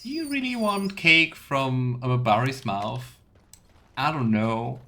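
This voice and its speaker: A man's voice: mid-range voice, lively intonation, takes it slowly, pushed and bright. Man musing through game commentary. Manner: musing, thinking aloud. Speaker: video game streamer. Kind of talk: casual let's play commentary.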